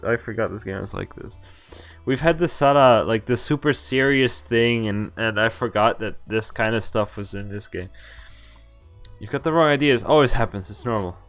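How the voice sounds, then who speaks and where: A man's voice: medium pitch, a bit bright. A man giving casual, amused and incredulous live commentary on a visual novel game, reading some of its text aloud. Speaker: game streamer.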